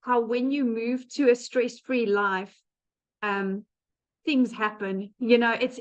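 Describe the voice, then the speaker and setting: A woman's voice: on the high side, fairly bright tone. A woman with a South African accent giving a chatty webinar testimonial over a video call, with frequent "um" fillers. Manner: chatty, frequent "um" fillers, laughs between phrases. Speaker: guest speaker. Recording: muffled lo-fi online call audio.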